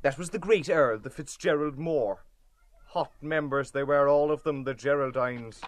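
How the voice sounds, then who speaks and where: A man's voice: fairly high pitch, normal speed, dry recording, natural voice. An actor playing a hearty, blustering, chatty Dublin man with an Irish accent and an exclamatory way of talking, in a dramatised novel. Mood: amused, confiding. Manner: exclamatory, colloquial, emphatic. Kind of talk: dramatised literary audiobook dialogue.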